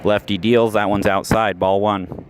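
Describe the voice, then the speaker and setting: A man calling a minor league baseball game as a radio play-by-play announcer, steady and measured, rising sharply on the big plays. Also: on the low side, slight background noise. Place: ballpark, outdoors.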